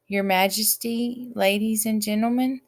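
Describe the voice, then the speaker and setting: A woman's voice: on the high side, steady tone, unhurried, voice pushed up. A woman voicing a timid, polite and earnest young boy in a storybook reading.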